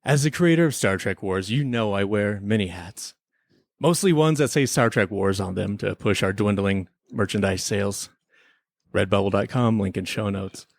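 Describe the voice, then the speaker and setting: A man's voice: lowish voice, moderate pace, no room sound. Man as smug chief investigator, mock-serious, theatrical, comic radio drama monologue, dry studio-like sound. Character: smug male chief temporal investigator confronting a rogue. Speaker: podcast creator playing himself as investigator.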